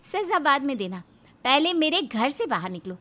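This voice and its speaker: Here is a woman acting as an indignant woman of an Indian household. Her voice is high and assertive, delivering dramatic storybook dialogue that stands its ground. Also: big pitch swings, normal speed, sharp tone, heavily acted. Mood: angry, defiant. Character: defiant, indignant women of an Indian family.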